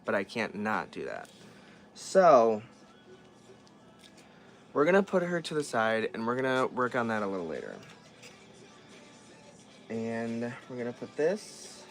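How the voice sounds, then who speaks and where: A man's voice: medium pitch, very animated, measured pace, long pauses, soft background music. A man chatting casually as he works on a repair, thinking aloud and often trailing off and restarting his sentences. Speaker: vlogger repairing dolls. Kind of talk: casual chat while working.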